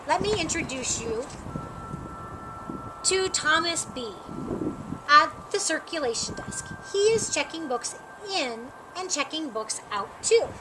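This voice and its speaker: Woman reading as a high, lively, helpful storybook librarian. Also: slow pace, background noise, heavily acted. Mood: instructive. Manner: welcoming, instructive, encouraging. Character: friendly, helpful woman librarian guiding schoolchildren. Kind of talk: storybook character read aloud.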